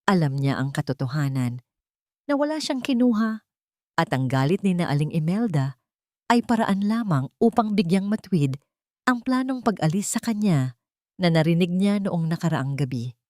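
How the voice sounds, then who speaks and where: A woman's voice: lowish voice, sing-song, normal speed, darkish tone, no echo, clean studio sound. A woman narrating a scripted audio drama story in a dramatic storytelling style.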